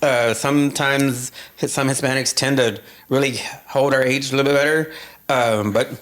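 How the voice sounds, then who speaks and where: A man's voice: mid-range voice, steady tone, moderate pace, a bit bright. A man in his fifties on a panel, telling stories and acting out what friends said. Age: 50s. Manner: storytelling, acting out quoted exchanges. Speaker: panel guest. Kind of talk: casual panel discussion.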